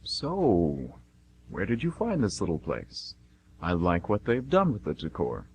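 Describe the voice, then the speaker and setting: A man's voice: sits low, wildly swooping pitch, unhurried, exaggerated voice. A man playing God as a smug, jovial, teasing deity in a comedic amateur radio play, breezy and fond of puns. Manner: breezy, self-satisfied, punning. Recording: noisy amateur radio recording with hum.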